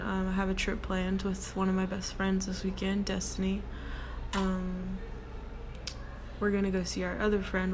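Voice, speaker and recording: A young woman with a deep voice hosting her own casual solo podcast from her workplace, rambling with many ums and likes in a relaxed way. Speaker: podcast host. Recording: noticeable background noise.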